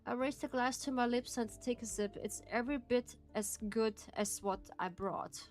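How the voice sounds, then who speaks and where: A woman's voice: on the high side, moderate pace, a little mellow, faint music behind. A woman with a German accent, a native German speaker, streaming and reading a game aloud in careful phrasing with frequent laughs.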